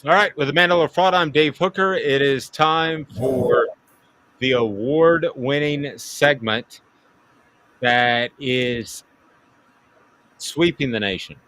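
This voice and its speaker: A man's voice: medium pitch, slow pace, long pauses, fairly bright tone, rough recording. A man hosting a sports talk radio show, hyping segments in a hammy, announcer-style way.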